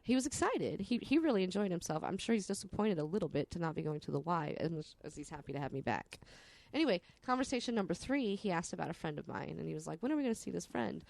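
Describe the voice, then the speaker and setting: A woman, a podcast co-host, chatting and telling personal stories in an animated, bantering way with slang and some swearing. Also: fairly low pitch, quick pace, gravelly, dry recording. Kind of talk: casual chat and personal storytelling.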